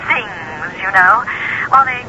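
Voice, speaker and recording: An actress playing an excitable, naive woman novelist who talks in hesitant, scattered bursts in a radio drama. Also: medium pitch, takes it slowly, heavily acted. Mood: flustered, hesitant. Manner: hesitant, scattered, breaking off mid-thought. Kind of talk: radio drama acting, phone conversation. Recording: thin, noisy telephone line on old radio.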